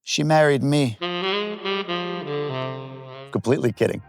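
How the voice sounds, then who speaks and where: American guy in his 40s, chatty vlog, candid and jokey, mid pitch, soft music behind. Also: measured pace, creaky. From United States. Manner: candid, joking, conversational. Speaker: vlogger. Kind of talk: casual to-camera talk.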